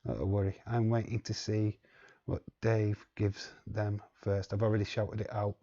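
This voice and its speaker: Man host, low husky voice, slow and rambling, live-stream chat feel. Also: flat delivery, dark tone, highs cut off. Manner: rambling, reads chat aloud, chuckles often. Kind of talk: casual live watch-along chat.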